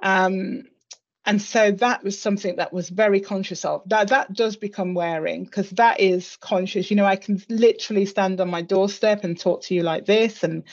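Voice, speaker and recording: A middle-aged woman with a British accent speaking reflectively and in a measured way as an interview guest on a video call. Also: medium pitch, steady tone. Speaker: interview guest, senior executive. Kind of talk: reflective personal conversation. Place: indoor room on a video call. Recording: slightly dull video call audio.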